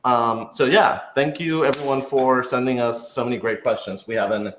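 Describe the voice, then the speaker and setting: A man's voice: mid-range voice, steady tone, moderate pace, fairly bright tone, a little hiss or hum. A man casually co-hosting a live Q&A, explaining things in an organized way with dry humor. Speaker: co-host and producer of an audio drama. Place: indoor room, on a couch. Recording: echoey, roomy sound.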